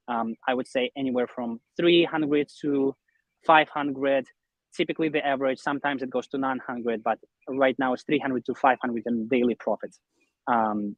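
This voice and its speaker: A man's voice: mid-range voice, dry recording, highs cut off. A young man from Russia, an interview guest explaining his online business in a conversational way. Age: young adult.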